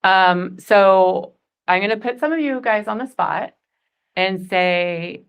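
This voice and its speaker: A woman's voice: medium pitch, slow, vocal fry, smooth, highs cut off. A woman in her forties leading a goal-setting workshop, chatty and upbeat, laughing often. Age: 40s. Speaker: workshop facilitator.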